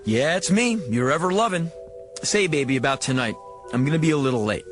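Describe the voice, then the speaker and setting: A man's voice: a little high, animated, music in the background, natural voice. A man playing a slick but rattled American used-car salesman boss in a radio drama, slangy and exclamatory. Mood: flustered, coaxing. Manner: slangy, fast-talking, exclamatory.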